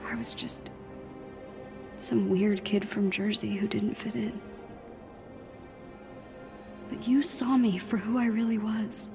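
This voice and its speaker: An actress with an American accent playing an earnest, plucky teenage superhero girl in a game, with heartfelt, halting delivery. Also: mid-range voice, fairly even intonation, gravelly, over music. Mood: earnest, pleading. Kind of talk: dramatic game cutscene acting.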